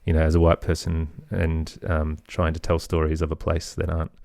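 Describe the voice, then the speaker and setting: Middle-aged male podcast guest, very low register, close dry sound, hesitant and conversational. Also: monotone, brisk, gravelly, mellow, no room sound. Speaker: podcast guest, audio storyteller and producer. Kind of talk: casual interview conversation.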